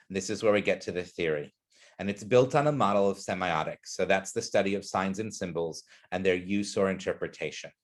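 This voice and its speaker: A man with a fairly low voice leads an educational webinar. He explains concepts earnestly and poses questions to the listeners. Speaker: presenter.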